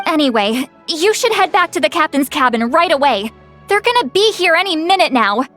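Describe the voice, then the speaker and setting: A woman's voice: sits high, big pitch swings, fairly quick, fairly bright tone, soft background music. A woman voicing an anxious, helpful young woman with a flustered, hesitant manner in a video game. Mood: worried, urgent.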